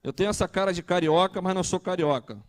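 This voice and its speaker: A man's voice: high voice, fast. A man, preaching a conversational sermon and asking the congregation questions. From Brazil. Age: 30s. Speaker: pastor.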